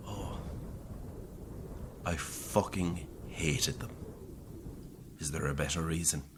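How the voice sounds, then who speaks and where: Murderous Irish priest, horror audio drama: low voice, unhurried delivery, smug and sardonic, coldly confessional. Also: male voice, noisy background. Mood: smug, menacing. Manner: deliberate, sardonic, conversational confession.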